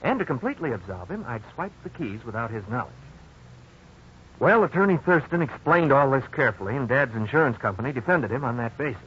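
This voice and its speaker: Earnest folksy man telling his own past: mid-pitched, very animated, vintage radio narration. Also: a little fast, husky, heavily acted. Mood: reflective, explanatory. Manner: folksy, expressive storytelling. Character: grown-up Vic, earnest man recalling his youthful blunder. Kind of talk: 1950s radio drama first-person narration. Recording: dull, noisy old radio recording.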